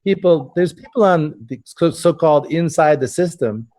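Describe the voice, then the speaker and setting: A man hosting a relaxed conversation, his voice a touch high and mellow. He talks earnestly, often restarting and repeating words as he gathers his thoughts. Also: moderate pace. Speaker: host. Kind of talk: casual interview chat.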